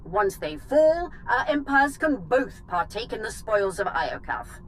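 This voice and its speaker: An actress playing a haughty, regal empress in a video game, with formal and persuasive speech. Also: female voice, medium pitch, big pitch swings, a little slow, a little hiss or hum, rough recording. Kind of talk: video game cutscene acting.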